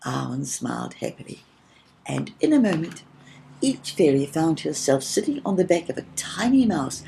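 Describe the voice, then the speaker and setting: A woman narrating a children's story from a script, with expressive storytelling and gently acted dialogue. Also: sits low, normal speed, gravelly, slight background noise, low-quality recording. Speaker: audiobook reader.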